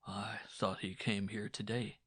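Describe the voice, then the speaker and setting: A man's voice: lowish voice, level tone, a little slow, fairly bright tone, breathy edge, no echo, studio quality. A man voicing a sullen, brooding peasant husband in an audiobook story, muttering and curt. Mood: cold, accusing. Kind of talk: dramatized audiobook dialogue.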